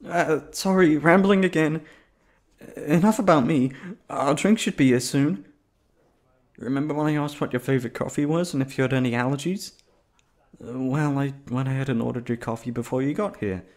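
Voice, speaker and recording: A man's voice: medium pitch, darkish tone, echoey room, natural voice. A man playing a shy, flustered young man on a first date in an ASMR roleplay, rambling and stammering nervously. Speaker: voice actor.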